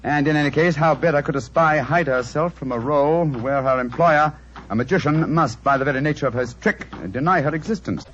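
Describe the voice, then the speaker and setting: A man's voice: a little high, brisk, a bit bright, natural voice. Man acting a confident British detective, old noisy radio. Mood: assured, explanatory. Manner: crisp, assured, condescending. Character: confident, brisk English gentleman detective, coolly analytical. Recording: dull, noisy vintage radio recording.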